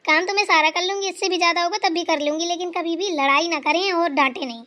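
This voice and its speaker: A woman's voice: extremely high pitch, quick pace, bright, forceful tone. A woman voicing a dutiful, anxious young daughter-in-law who talks eagerly and pleads. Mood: earnest.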